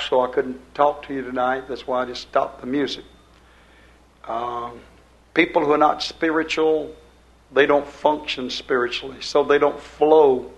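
A man's voice: medium pitch, vocal fry, muffled recording. An older man preaching a sermon to his congregation in a folksy, rambling way, repeating his phrases. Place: church service before a congregation.

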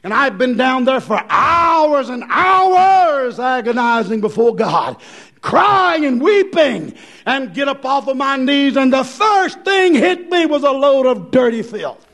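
A man's voice: extremely high pitch, very animated, slow pace, a bit bright. An American man preaching a fervent revivalist sermon, impassioned and emphatic, repeating phrases and rhetorical questions.

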